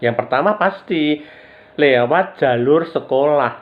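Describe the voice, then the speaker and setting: Measured Javanese-accented male lecturer. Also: fairly high pitch. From Java, Indonesia. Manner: measured, stretches key words, often says "ya" and "saudara". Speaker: university lecturer. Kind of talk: recorded online lecture. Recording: dull lo-fi recording.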